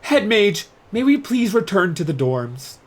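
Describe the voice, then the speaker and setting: A man's voice: sits high, big pitch swings, a little slow, voice pushed up, exaggerated voice. A man voicing a prim, stern schoolboy leader at a magic school, formal and haughty.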